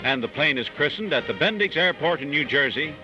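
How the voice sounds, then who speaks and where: A man's voice: fairly high pitch, expressive, a little fast. A man with an American accent reading formal scripted narration as a 1940s newsreel announcer. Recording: muffled 1940s newsreel soundtrack. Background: loud music.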